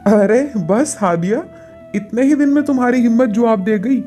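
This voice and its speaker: Woman voicing a mellow, resigned young husband. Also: on the high side, normal speed, faint music behind, natural voice. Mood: resigned, placating. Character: weary, teasing young husband bracing the family.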